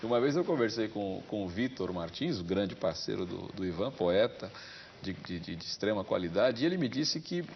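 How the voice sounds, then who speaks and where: Deep-ish tv host guy, talks poetry w long asides, kinda muffled studio audio, faint hiss. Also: animated, moderate pace, vocal fry. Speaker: TV interview host. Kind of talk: cultured interview questions. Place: television studio.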